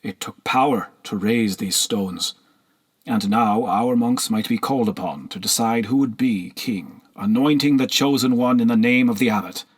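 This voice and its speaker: A man narrating a historical novel as an audiobook in a measured, solemn storytelling manner. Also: mid-range voice, slightly rough.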